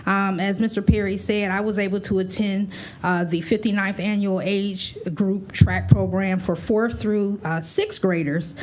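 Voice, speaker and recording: A woman's voice: medium pitch, fairly even intonation, measured pace, a little mellow. A woman board member giving earnest remarks at a meeting, with frequent fillers. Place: school board meeting room.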